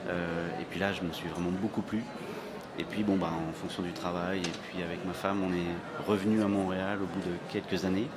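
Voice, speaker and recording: A man's voice: low voice, flat delivery, fairly quick. A man from France with a Parisian accent, a guest author talking in a casual radio interview with many fillers and restarts, recorded live at a busy fair. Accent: Parisian French. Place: immigration fair hall. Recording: live event with crowd behind.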